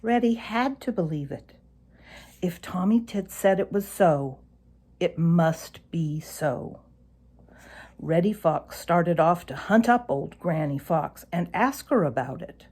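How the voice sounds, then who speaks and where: A woman narrating a children's animal story aloud in an expressive storytelling style. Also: lowish voice, takes it slowly, breathy edge.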